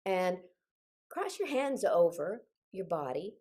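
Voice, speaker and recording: Older lady with a bit of a cold leading choir practice on a video call, slow paced, encouraging, tells everyone what to do. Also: fairly low pitch, big pitch swings, dark tone, breathy edge. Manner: encouraging, directive. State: getting over a cold, occasional cough. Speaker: choir leader and singing instructor. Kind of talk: instructional group singing session with personal asides.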